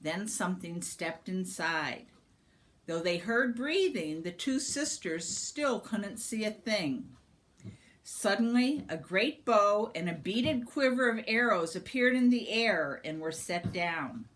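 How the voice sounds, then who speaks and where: A woman reading a storybook aloud to a young child, with dramatic, deliberate, expressive narration. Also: mid-range voice.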